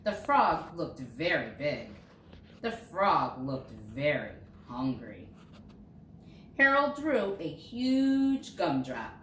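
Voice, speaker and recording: Woman reading a storybook, lively swooping pitch. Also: very slow. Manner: expressive, dramatizing key words for young listeners. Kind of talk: storybook read-aloud. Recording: roomy echo, distant mic, faint noise.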